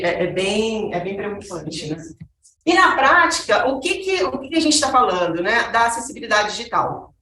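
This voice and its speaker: A woman's voice: medium pitch, fairly bright tone. A woman with a Brazilian Portuguese accent giving a conversational presentation, asking the audience rhetorical questions. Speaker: speaker giving a talk on accessibility. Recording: echoey room, voice from a distance.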